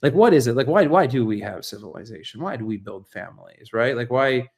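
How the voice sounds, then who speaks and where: An American man with a middle-range voice talks off the cuff to a livestream audience. He is earnest and reflective, feeling his way through each thought. His phrasing is halting, with repeated words and frequent tag questions. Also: mid-range voice, animated, gravelly, darkish tone. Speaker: livestream host and essayist. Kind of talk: unscripted livestream monologue on politics and philosophy.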